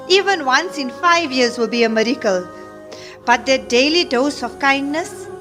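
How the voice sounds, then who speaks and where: An actress playing an earnest, blunt female Islamic teacher in an audio drama. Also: sits high. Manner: instructive, blunt.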